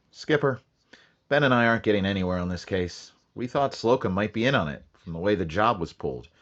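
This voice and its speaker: An actor playing a terse police sergeant who narrates his case in a flat, matter-of-fact way, in 1940s radio acting style. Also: lowish voice, a little fast, no room sound, lo-fi sound. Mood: briefing.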